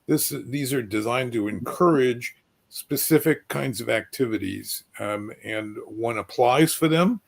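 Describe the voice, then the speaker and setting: A man moderating an informal panel, speaking in halting, deliberate phrases. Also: mid-range voice, vocal fry, breathy edge.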